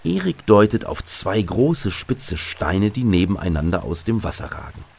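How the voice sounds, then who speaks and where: A man's voice: deep voice, very animated, moderate pace, creaky, a little mellow, husky. A man narrating a scripted children's radio play as its host.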